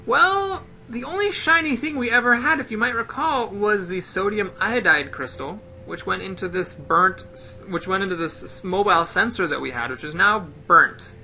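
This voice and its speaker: A man's voice: sits high, lively intonation, moderate pace, few pauses, sharp tone, faint music behind. A man hosting a casual game play-through, explaining things step by step to his viewers.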